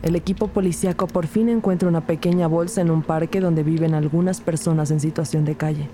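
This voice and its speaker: A woman's voice: low voice, steady tone, a little fast, a little hiss or hum. A woman narrating a scripted Spanish-language crime audio drama. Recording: loud music behind the voice.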